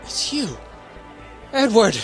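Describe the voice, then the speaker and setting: An actor playing a commanding but sentimental space-rocket captain in a radio drama, speaking with earnest emphasis. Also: male voice, very high voice, animated, takes it slowly, soft background music, full character voice. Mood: amazed, moved.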